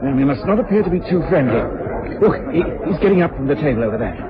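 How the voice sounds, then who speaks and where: A dull old radio drama recording with crowd murmur features an officious English police inspector. He is an earnest man with a fairly high voice, used to giving orders. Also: sing-song, fast, heavy background noise. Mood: urgent, commanding. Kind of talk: British radio drama acting.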